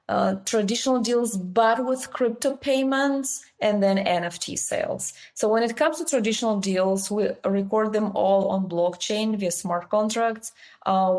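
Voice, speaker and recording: A woman's voice: mid-range voice, darkish tone. A woman from Russia with a Russian accent, a company founder confidently explaining her work in an interview. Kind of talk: business interview.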